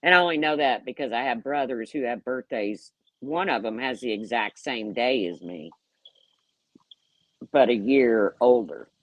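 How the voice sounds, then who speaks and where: A woman's voice: very deep voice, lively intonation, a little slow, dry recording, dull audio. A woman in her late fifties hosting a casual livestream, talking bluntly and sarcastically as she rambles and answers her chat. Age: 50s. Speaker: YouTube livestream host.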